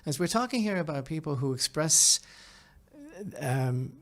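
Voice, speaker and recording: A man giving conversational opinion commentary as a podcast host, halting and emphatic, repeating phrases and often checking in with the listener. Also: fairly high pitch, animated, a bit bright.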